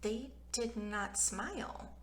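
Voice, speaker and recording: A woman reading a children's story aloud in an expressive, childlike, animated way. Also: on the high side, slow pace, a little hiss or hum. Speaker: children's book reader.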